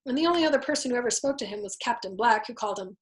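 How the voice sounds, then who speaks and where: Woman, slightly high pitch, storyteller, audiobook-style narration, reading fiction aloud. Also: lively intonation, rapid-fire.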